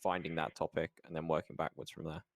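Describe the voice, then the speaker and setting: A man's voice: rumbling low, flat delivery, quick pace, vocal fry, no echo, studio quality. A man hosting a podcast, asking questions in a conversational, agreeable and affirming way. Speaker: podcast host.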